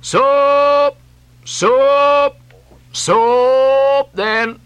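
A man's voice: extremely high pitch, steady tone, extremely slow, a bit bright, pitched above the performer's own voice, full character voice, poor audio. A man voicing a jolly, rascally second mate who coaxes and playfully scolds his rowers in an audiobook. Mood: jolly scolding. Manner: coaxing drawl mixed with playful scolding. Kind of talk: audiobook character acting.

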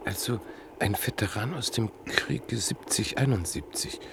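A man's voice: lowish voice, measured pace, vocal fry, noisy background. An actor in a classic radio play playing a curious man on a train who keeps asking prompting questions. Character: curious male train passenger, inquisitive. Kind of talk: classic radio drama acting.

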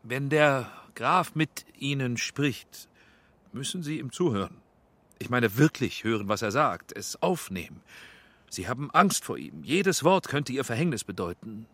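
An actor in a radio drama playing an exacting but tactful male film director, polite, careful and quietly skeptical. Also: medium pitch, very animated, measured pace, no room sound. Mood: careful, exasperated.

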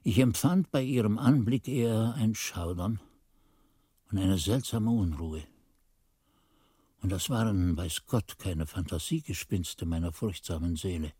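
An actor playing an old monk who recalls his youth, narrating a radio play in a measured, solemn, brooding way. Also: sits low, mellow, husky, no room sound, studio quality.

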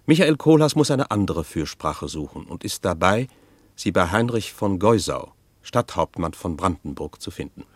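An actor playing a sober chronicler, narrating a radio drama in a formal, dry storytelling manner. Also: male voice, low voice, big pitch swings, moderate pace, dry recording. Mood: sober, wry. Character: male chronicler soberly recounting a legal injustice.

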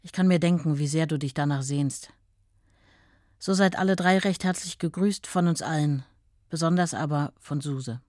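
A woman's voice: low voice, normal speed, darkish tone, no room sound, studio quality, natural voice. A woman reading a letter from an ailing older mother to her son in a tender, tired manner. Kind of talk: broadcast documentary reading.

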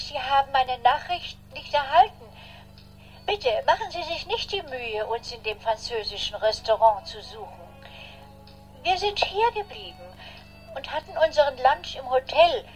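Formal woman with swooping high voice. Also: rough recording. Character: polite, grateful woman leaving an answering-machine message. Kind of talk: radio play acting.

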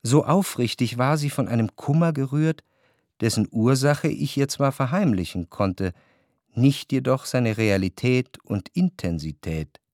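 An actor playing an introspective, melancholy narrator, delivering literary radio drama narration in a measured, reflective way. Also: male voice, mid-range voice, expressive, darkish tone, dry recording. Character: introspective, melancholy male narrator recalling his past. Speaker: first-person narrator. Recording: studio narration with faint music.

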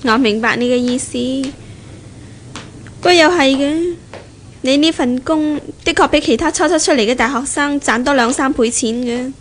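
An actress playing a proud, poised young graduate and fiancée who questions and asserts herself in a 1970s Cantonese radio drama. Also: female voice, high-pitched, normal speed, a little hiss or hum, close to an everyday voice. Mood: assertive. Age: young adult.

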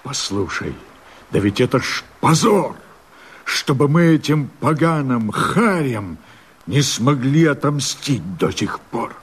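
An actor playing a fierce, brooding leader of a peasant revolt, declaiming verse theatrically in a husky voice. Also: male voice, medium pitch, expressive. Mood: bitter, mournful. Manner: theatrical, impassioned, drawn-out declamation. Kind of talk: declaimed verse radio drama.

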